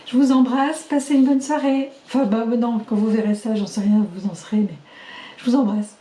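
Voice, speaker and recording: Halting, slightly hoarse woman in echoey room. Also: on the high side, fairly even intonation, warm tone. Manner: chatty and halting, many fillers and restarts. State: losing her voice, slightly hoarse. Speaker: vlogger keeping a video diary. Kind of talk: casual spoken video diary.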